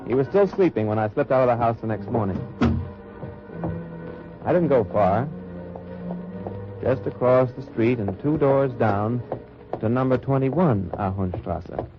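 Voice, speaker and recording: An actor playing a dry, wry undercover agent who narrates in first person in a 1940s radio drama. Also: male voice, mid-range voice, lively intonation, measured pace, pauses often, over music, lightly acted. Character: hard-bitten American undercover agent narrating. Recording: lo-fi old radio transcription.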